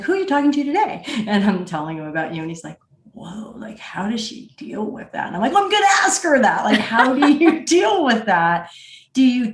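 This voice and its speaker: A woman hosting a casual, conversational interview as she questions her guest. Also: mid-range voice. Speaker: podcast host, interviewer. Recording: roomy, echoing voice track.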